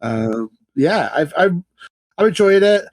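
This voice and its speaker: A man's voice: sits high, sing-song, slow, dry recording. A man with a blocked, nasal nose from a cold, co-hosting a casual podcast with lots of uhs and restarts. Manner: hesitant, full of uhs and restarts. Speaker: podcast co-host. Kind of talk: casual chat. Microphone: podcast microphone.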